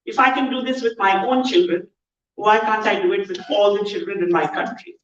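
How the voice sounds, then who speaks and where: A woman with an Indian accent giving an earnest, measured keynote talk at a conference. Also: mid-range voice, steady tone. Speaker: conference speaker. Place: large conference hall. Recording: echoey, distant, dull hall sound.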